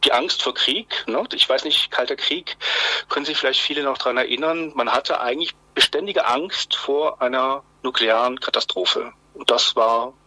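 A man in his fifties from Swabia answering interview questions conversationally, haltingly repeating words as he searches for phrasing. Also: mid-range voice, pushed and bright, no room sound, rough recording. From Swabia, Germany. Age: 50s. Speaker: interview guest, journalist.

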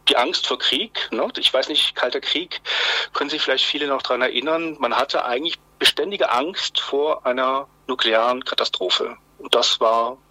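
Male, 50s, from southwest Germany, phone interview guest; very bright, dry voice, halting delivery. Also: mid-range voice, no room sound, low-quality recording. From Swabia, Germany. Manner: hesitant, many fillers and restarts, tag "ne?". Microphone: telephone.

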